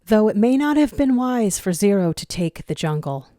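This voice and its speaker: A woman's voice: medium pitch, big pitch swings, normal speed, dark tone, no echo, clean studio sound. A woman reading a dramatic scripted story as its narrator. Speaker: narrator of a fan fiction audio reading.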